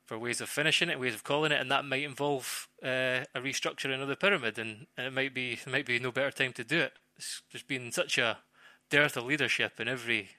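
A man giving off-the-cuff football analysis as a pundit, haltingly, searching for words and repeating phrases. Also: mid-range voice, fairly even intonation, pushed and bright, no room sound.

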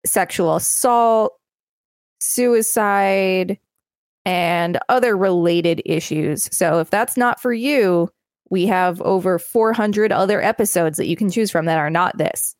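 A woman's voice: medium pitch, takes it slowly, dry recording, clean studio sound. A woman podcast co-host reading an encyclopedia article aloud and adding commentary.

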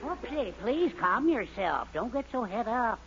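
An actor playing a meek, long-suffering middle-aged husband, mild and fussy, in 1940s radio sitcom style. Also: male voice, extremely high pitch, sing-song, measured pace, a bit bright, exaggerated voice. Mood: fretful pleading. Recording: muffled, noisy old radio recording.

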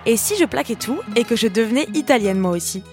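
Chatty female travel host, bright pitch. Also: expressive, fast talker, music in the background. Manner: chatty and playful. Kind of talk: upbeat travel narration and questions.

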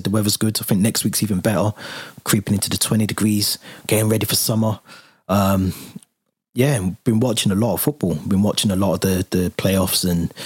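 A man's voice: on the low side, breathy edge, no room sound. A man hosting a casual football podcast, talking easily with a lot of ums and reading out plugs for the channel. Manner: relaxed, lots of fillers and restarts, reads out channel plugs. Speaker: podcast host.

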